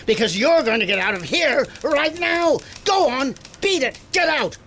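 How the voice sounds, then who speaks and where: A man acting as an angry, disgusted official in a radio play. His high voice moves animatedly as he scolds with sarcasm, over faint noise. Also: animated, moderate pace, pushed and bright, heavily acted. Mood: angry, sarcastic. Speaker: actor in an audio drama. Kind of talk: 1950s radio drama acting.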